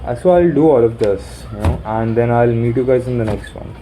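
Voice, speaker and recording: Young man, deep mellow voice, halting self-talk on a phone mic, faint traffic behind. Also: poor audio. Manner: musing, halting, swears casually. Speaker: vlogger. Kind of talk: rambling self-talk planning. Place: sitting in a room corner.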